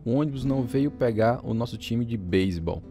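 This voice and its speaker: A man's voice: medium pitch, fairly quick, dark tone, faint music behind, natural voice. A man playing a grown man soberly recalling his youth, narrating in a radio drama. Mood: reflective. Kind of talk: scripted first-person narration.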